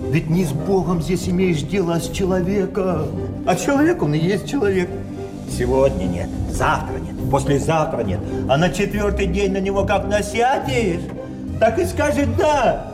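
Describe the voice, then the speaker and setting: High-voiced, sententious male card sharp. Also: animated, moderate pace. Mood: philosophizing. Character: confident, philosophizing male card sharp, smooth talker. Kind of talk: theatrical audio play acting. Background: music.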